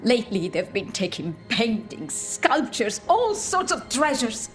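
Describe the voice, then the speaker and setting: Game role: florid, theatrical art-gallery lady, very animated, music behind. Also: fairly high pitch, measured pace. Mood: indignant, dramatic. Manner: dramatic, florid. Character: theatrical, effusive woman gallery owner, indignant. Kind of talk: video game voice acting.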